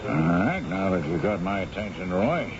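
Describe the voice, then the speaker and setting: A gruff, skeptical newspaper editor. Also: male voice, low-pitched, big pitch swings, moderate pace, a crowd in the background. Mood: skeptical, grudging. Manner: curt, dismissive, grumbling. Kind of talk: old-time radio drama acting. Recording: dull old radio recording.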